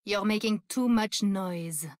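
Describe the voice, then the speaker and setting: A woman's voice: medium pitch, slow pace, no room sound, studio quality, close to an everyday voice. An actress playing a cold, aloof female sniper assassin with a French accent and a clipped, disdainful manner. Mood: cold, dismissive.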